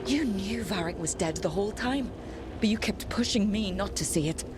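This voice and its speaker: An actress playing a determined, betrayed hero in a video game, direct and plain-spoken. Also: female voice, mid-range voice, animated, normal speed, noisy background, natural voice. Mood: angry, accusing. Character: blunt, betrayed, determined young female fantasy hero. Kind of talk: dramatic video game voice acting.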